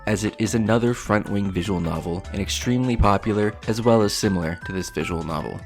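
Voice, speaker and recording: A man with a lowish voice narrates a scripted review as its host. His delivery is wry and self-deprecating, dropping into crude jokes. Faint music plays beneath him. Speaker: host of a visual novel review show. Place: voice-over with music behind.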